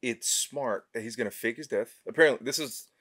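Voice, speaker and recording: A man in his thirties chatting easily on a small studio set. His voice sits in a middle pitch, and his delivery is relaxed, with hesitant restarts and ready laughter. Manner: laid-back, quick to laugh, hesitant restarts. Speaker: podcast co-host. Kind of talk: casual chat.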